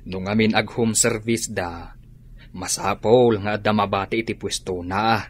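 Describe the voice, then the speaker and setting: A man playing a concerned Filipino father in a radio drama, with a husky voice and a Filipino accent. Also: fairly low pitch, steady tone, normal speed. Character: plain-spoken Filipino father, fretting over his grown children. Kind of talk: Ilocano radio drama acting.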